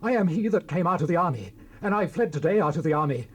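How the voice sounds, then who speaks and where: An actor playing a distraught army messenger bearing dreadful news in a Bible audio drama. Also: male voice, on the high side, expressive, fast talker, slightly rough.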